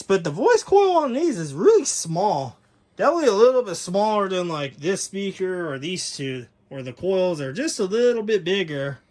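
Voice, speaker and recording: A man giving a casual, unscripted overview as a hobbyist video host, rambling and correcting himself as he goes. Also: sits high.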